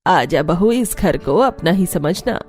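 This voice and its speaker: Woman playing a stern, mellow older mother-in-law. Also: on the low side, animated, slow, faint music behind. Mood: brisk. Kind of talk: Hindi cartoon story acting.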